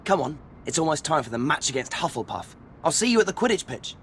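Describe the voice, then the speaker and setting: A man's voice: fairly high pitch, big pitch swings, fast talker, fairly bright tone, a little hiss or hum. A man playing an earnest, urgent teenage schoolboy wizard with an English accent in a video game cutscene. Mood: keen.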